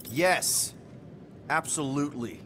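Informal, fairly high-voiced outlaw leader. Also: male voice, slow pace, a bit bright, a little hiss or hum. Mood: earnest. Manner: informal, wry. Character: casual, exasperated young male human space-outlaw leader. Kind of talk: conversational video game acting.

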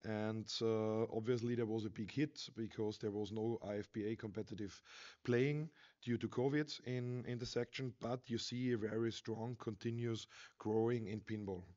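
A man in his thirties from Austria, an English speaker, presenting slides at an expo in a halting, earnest way with frequent fillers and restarts. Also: lowish voice, fairly even intonation, mellow. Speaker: conference speaker. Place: expo stage in a large hall. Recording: slightly dull lo-fi sound.

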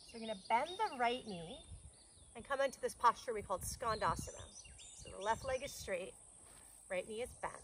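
A woman in her thirties guiding a yoga class outdoors on a farm field, giving calm, encouraging cues between breaths. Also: fairly high pitch. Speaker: yoga teacher. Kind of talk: guided yoga class instruction. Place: outdoors on a grassy farm field under trees. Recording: faint outdoor noise, crickets. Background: faint crickets and country outdoor sounds.

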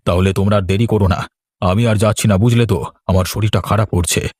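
An elderly village gentleman in a horror cartoon, played by a man. The voice is fairly low and closely recorded. He sounds shaken and weary. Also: fairly even intonation, a little fast, husky, dry recording, studio quality. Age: older. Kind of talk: Bengali horror cartoon dialogue.

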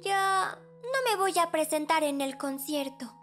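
A woman's voice: sits high, expressive, slow pace, a bit bright, faint music behind, exaggerated voice. A woman voicing a cheerful five-year-old girl in a children's cartoon, with a Latin American Spanish accent and a childlike, exclamatory manner. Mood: disappointed. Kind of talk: children's animated musical dubbing.

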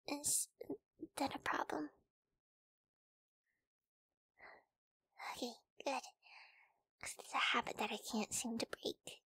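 A woman playing a timid, sweet, wary young wolf girl in an ASMR roleplay, speaking softly and hesitantly, often in whispers, close to the microphone. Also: a little high, vocal fry, slightly rough, no echo, exaggerated voice. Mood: relaxed, cheerful. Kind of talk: ASMR girlfriend audio roleplay.

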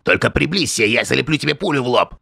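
A man's voice: mid-range voice, lively intonation, fast, a little hiss or hum, full character voice. A man voicing a bossy, threatening rooster gangster boss in an adult cartoon. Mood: menacing. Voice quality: gravelly, sharp.

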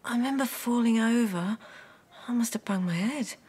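A woman's voice: on the high side, normal speed, a little mellow, breathy edge. An actress playing a weak, heartbroken injured woman in a radio drama, subdued and weary.

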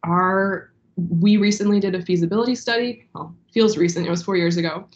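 A woman's voice: fairly low pitch, fairly quick, dull audio. A young woman with a stuffy, congested voice giving formal testimony as a library director over a video call, hesitant with many ums. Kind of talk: formal testimony at an online meeting. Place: home room, indoors. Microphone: video call webcam.

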